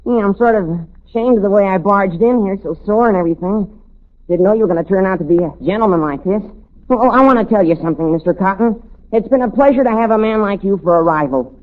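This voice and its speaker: An actor playing an earnest, indignant high school boy in love, halting and slangy, in a 1940s radio comedy. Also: close to an everyday voice. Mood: grateful. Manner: halting, boyish slangy talk. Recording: thin, tinny old-time radio recording.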